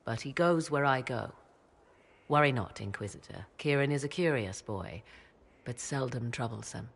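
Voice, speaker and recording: A grave, husky mage mother. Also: a bass voice, expressive, heavily acted. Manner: measured, formal, archaic speech. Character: mysterious aloof mage mother, grave and secretive. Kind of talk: video game cutscene dialogue.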